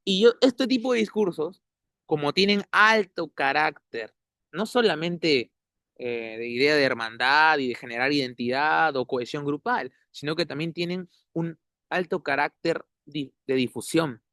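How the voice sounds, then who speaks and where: A man, a guest giving measured, academic analysis, often ending points with tag questions. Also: a little high, bright, forceful tone, no room sound, studio quality. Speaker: interview guest. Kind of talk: analytical conversational commentary.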